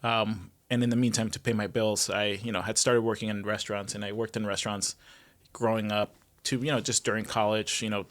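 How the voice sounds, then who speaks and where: A man from Mexico talks through his past in an easy, meandering way, full of fillers and asides. His voice sits fairly low. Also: brisk. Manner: relaxed and rambling, many fillers, laughs easily. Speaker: guest, restaurant general manager. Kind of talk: casual conversational interview.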